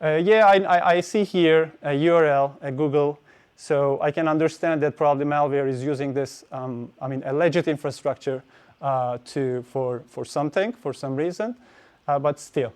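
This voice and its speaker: A man's voice: a little high, moderate pace, darkish tone, clear, resonant tone. A man giving a technical conference talk, hesitant, with many fillers and restarts. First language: Turkish. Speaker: conference speaker.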